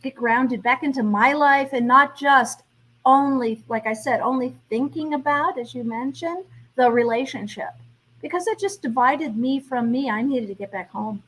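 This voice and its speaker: A woman's voice: high-pitched, moderate pace, a bit bright, rough recording. A middle-aged woman being interviewed as a guest, speaking reflectively and laughing lightly between thoughts. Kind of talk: interview conversation.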